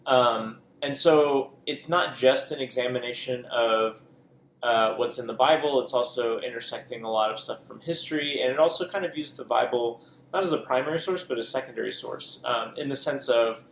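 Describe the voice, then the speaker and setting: A man's voice: medium pitch, normal speed, gravelly, fairly bright tone. A man co-hosting a podcast, chatting casually about books he read, rambling with lots of ums and self-corrections. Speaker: podcast co-host. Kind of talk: casual book chat. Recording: echoey room with faint noise.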